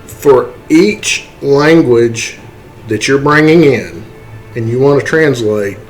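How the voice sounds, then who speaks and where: A man instructing in a casual, step-by-step software tutorial, explaining things and often checking in with short tag words. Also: medium pitch, slow pace, faint music behind, low-quality recording.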